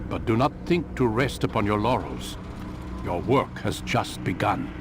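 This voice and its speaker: An actor playing a medieval master of assassins in a game, formal, measured and commanding. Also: male voice, fairly low pitch, animated, noisy background. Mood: stern approval. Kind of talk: dramatic video game cutscene acting.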